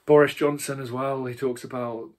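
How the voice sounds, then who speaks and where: A man's voice: mid-range voice, level tone, normal speed. A British man giving a casual, opinionated book review in a rambling, emphatic and blunt way.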